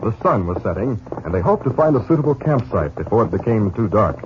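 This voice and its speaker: A male narrator of a 1950s radio western, reading scripted drama with lively, wide-ranging intonation. The old recording is muffled and heavily noisy. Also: lowish voice, a little fast.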